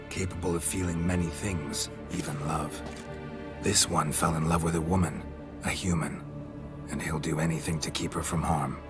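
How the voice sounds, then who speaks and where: A man playing a stoic, world-weary monster hunter in a video game, speaking in terse, deadpan phrases. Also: low voice.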